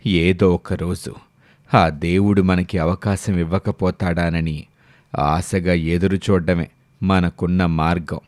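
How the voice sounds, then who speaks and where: A man voicing a resentful, brooding village schemer with a slow delivery in a moral story. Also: deep voice, flat delivery, darkish tone, no room sound, clean studio sound, pitched below the performer's own voice. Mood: resigned, brooding. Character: resentful village schemer, bitterly waiting for his chance.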